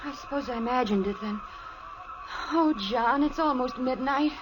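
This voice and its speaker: An actress playing a fearful, pleading young wife in a 1940s radio drama. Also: female voice, high-pitched, moderate pace, dull audio, noisy background, natural voice. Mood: frightened. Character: frightened wife, young woman. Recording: old radio recording under music.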